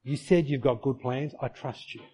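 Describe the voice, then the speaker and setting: A man's voice: mid-range voice, moderate pace, mellow, husky, lo-fi sound. A middle-aged male pastor preaching a sermon to his church, earnest and full of rhetorical questions.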